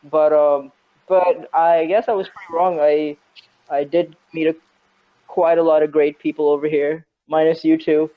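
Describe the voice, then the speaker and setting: Young man, podcast co-host, hesitant, rambling, teasing, slightly high voice, muffled recording. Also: flat delivery, normal speed. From Saudi Arabia. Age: young adult. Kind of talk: casual chat. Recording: dull lo-fi sound.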